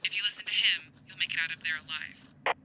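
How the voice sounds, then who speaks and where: A woman's voice: fairly low pitch, steady tone, fast talker, noisy background, close to an everyday voice. A woman playing the watchful, commanding captain of a ghost-hunting team in an audio roleplay, businesslike and cautioning.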